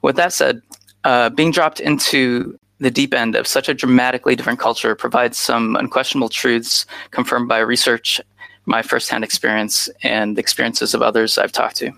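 A man in his thirties delivers a prepared conference talk, explaining earnestly and leaning on frequent "uh"s. His voice has a gravelly edge with a bright, forward tone. The sound is dry and close, with no room around it. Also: medium pitch, level tone, normal speed, no room sound, rough recording. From Chicago, USA. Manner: filler-heavy, earnest, explanatory. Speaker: conference speaker. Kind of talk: prepared talk over a remote connection.

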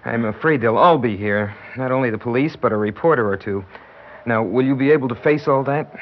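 A man's voice: mid-range voice, natural voice. Earnest doctor in a muffled radio drama man acting. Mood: gently consoling. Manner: gently insistent, deliberate. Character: earnest, patient male doctor breaking grim news. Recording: dull, hissy classic radio recording.

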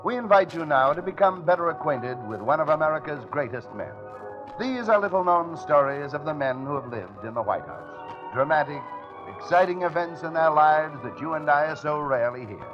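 A man's voice: on the high side, animated, moderate pace, muffled recording. A man announcing an old-time radio show in a grand, declamatory scripted style. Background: loud music.

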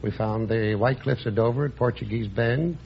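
A man's voice: medium pitch, moderate pace, vocal fry, a little hiss or hum. An older man from Philadelphia, a quiz show contestant giving plain, matter-of-fact answers. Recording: dull old radio broadcast.

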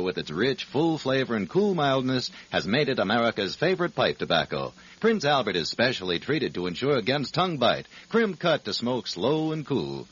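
A man delivers a scripted radio commercial in 1940s style. His husky voice moves with lively intonation, and the old recording sounds dull. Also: mid-range voice. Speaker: commercial announcer.

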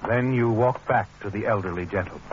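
An actor playing a knowing, sardonic narrator of a 1940s radio mystery, in a polished, insinuating, dramatic manner. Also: male voice, fairly low pitch, fast talker, a little hiss or hum, natural voice. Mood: suspenseful. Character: knowing, sardonic male narrator addressing the schemer he watches. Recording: muffled old radio, music behind.

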